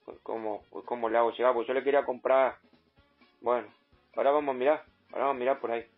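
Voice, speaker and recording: A man playing an insistent, simple provincial customer with an Argentine accent, complaining in a halting, repetitive way on a prank call. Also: mid-range voice, fairly even intonation, natural voice. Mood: aggrieved. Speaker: prank caller. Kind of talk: improvised phone prank. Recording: muffled phone line, faint music behind.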